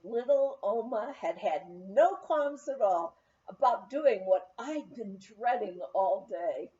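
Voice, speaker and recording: A woman reading a humorous memoir as scripted narration, with expressive storytelling. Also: medium pitch, takes it slowly, lo-fi sound. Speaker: audiobook reader.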